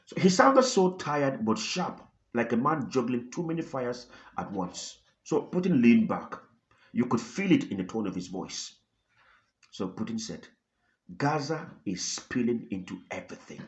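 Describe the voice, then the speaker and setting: Animated man telling news dramatically. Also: medium pitch, measured pace. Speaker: content creator. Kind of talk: dramatic storytelling news commentary to viewers.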